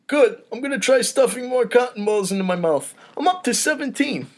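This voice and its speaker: A man's voice: extremely high pitch, expressive, a little fast, voice pushed up. A man voicing a blustering mafia boss with emphatic, theatrical gangster patter in a comedy script read. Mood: upbeat. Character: mafia Don, blustering boss with cotton-stuffed mouth.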